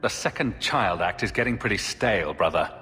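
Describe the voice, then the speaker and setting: A man's voice: lowish voice, animated, fairly quick, pushed and bright. A man playing a firm, dryly sardonic young elder brother in a video game, terse and protective. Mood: stern. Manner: dry, terse, assertive. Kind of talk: dramatic video game acting. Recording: long reverb with background music.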